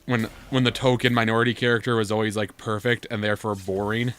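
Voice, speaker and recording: A low-pitched man talks casually as he plays a video game with a co-host. He rambles and jokes, starting sentences haltingly, over faint background noise on a home recording. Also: flat delivery, fairly bright tone. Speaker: gaming video co-host and player. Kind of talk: casual banter while playing a game. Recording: noisy home gameplay recording.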